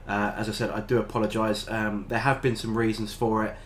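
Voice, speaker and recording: An older British man talks casually to his viewers, his voice sitting a little low. A head cold leaves him nasal and stuffy, and he rambles along with plenty of ums and uhs. A faint hiss sits under the recording. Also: level tone, fairly quick. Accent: British English. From Britain. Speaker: tabletop gaming channel host. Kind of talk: casual review talk to camera.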